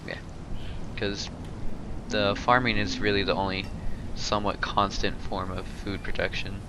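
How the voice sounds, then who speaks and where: A man's voice: lowish voice, flat delivery, measured pace, background noise. A congested, sniffly man giving casual gameplay commentary as host, thinking aloud with hesitant restarts. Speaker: let's play host.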